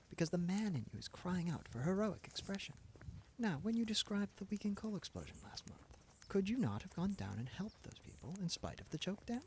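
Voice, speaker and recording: A man's voice: high-pitched, darkish tone, slight background noise, voice pushed up. A man voicing an earnest, idealistic young woman who speaks in a lofty, lightly reproving way, read as an audiobook character.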